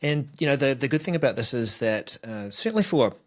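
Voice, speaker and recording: A man with a New Zealand accent co-hosting a casual tech podcast, bantering dryly and explaining features in a relaxed way. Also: mid-range voice, fairly quick. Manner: dry, playful banter. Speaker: co-host.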